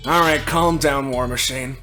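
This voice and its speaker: A woman's voice: rumbling low, measured pace, loud music behind the voice. A woman roleplaying casually as a tabletop game player, with a laid-back, slightly hesitant way of talking.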